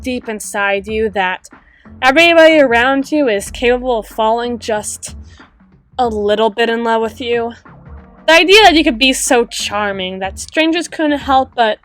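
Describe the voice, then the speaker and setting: A woman playing a wistful, guarded female half-spider bouncer in an intimate roleplay monologue, musing with dramatic pauses and soft trailing phrases. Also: fairly high pitch. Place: close-miked recording with music playing behind.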